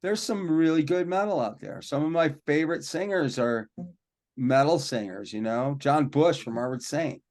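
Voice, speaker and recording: A man, a rock and metal singer, telling stories from his life in a relaxed, rambling, self-deprecating way during a podcast interview. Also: fairly high pitch, normal speed. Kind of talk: casual interview storytelling.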